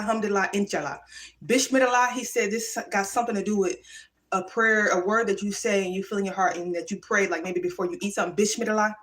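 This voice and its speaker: Emphatic woman musing on a livestream. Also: mid-range voice. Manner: animated, emphatic, drifts between musing and preachy. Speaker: livestream vlogger. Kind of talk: casual spiritual livestream monologue. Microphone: phone or webcam livestream.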